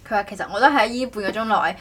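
A woman's voice: medium pitch, racing, fairly bright tone, slight background noise. A woman chatting casually as a podcast host, rambling and often correcting herself. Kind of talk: casual chat.

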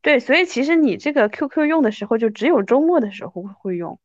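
Woman in her thirties, lively swooping pitch, casual podcast host, dry slightly muffled recording. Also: quick pace, dry recording. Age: 30s. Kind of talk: casual chat interview with an old friend.

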